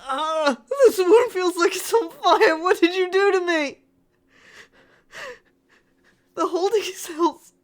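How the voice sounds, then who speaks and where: Casting: actress for female starship crew member in horror audio drama. High voice, slow halting delivery, frightened but defiant. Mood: pained, panicked.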